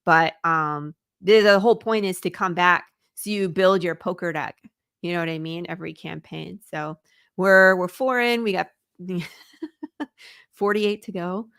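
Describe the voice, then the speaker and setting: A woman's voice: lowish voice, fairly even intonation, a little slow, fairly bright tone, clean tone, no echo, studio quality. A woman from Michigan with a Midwestern accent, chatting eagerly as a guest and laughing often. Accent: Midwestern American. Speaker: comic creator guest. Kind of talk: casual interview chat.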